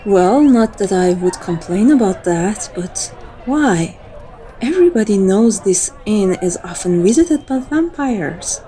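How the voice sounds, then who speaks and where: A woman's voice: medium pitch, expressive, unhurried, warm tone, some chatter behind, lightly acted. A woman playing a playful, seductive vampire in an ASMR roleplay, with a teasing, coaxing and intimate manner. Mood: flirtatious. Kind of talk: scripted ASMR roleplay acting.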